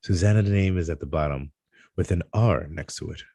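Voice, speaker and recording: A man narrating screenplay stage directions at a table read, in a halting delivery that stumbles over words. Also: low-pitched, mellow, slightly rough, dry recording.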